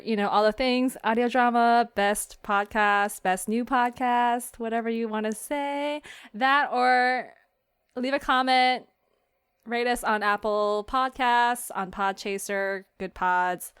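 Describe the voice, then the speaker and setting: A woman's voice: mid-range voice, clean tone, no room sound, clean studio sound. A woman hosting a podcast in a casual, chatty style, with a giggly manner. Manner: giggly, laughs often. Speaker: fiction podcast creator and host. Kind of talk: casual chat.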